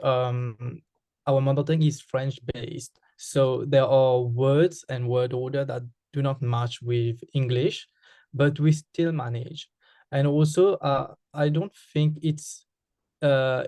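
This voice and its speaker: Mauritian man, flat hesitant answers. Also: mid-range voice, no room sound. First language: Mauritian Creole. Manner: hesitant, many fillers and restarts. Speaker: interviewee. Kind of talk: casual interview answers.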